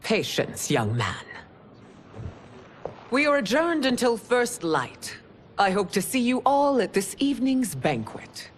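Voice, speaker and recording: An actress playing a stern, regal empress in a video game, speaking with formal authority. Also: female voice, on the high side, very animated, takes it slowly. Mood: stately. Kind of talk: video game cinematic acting.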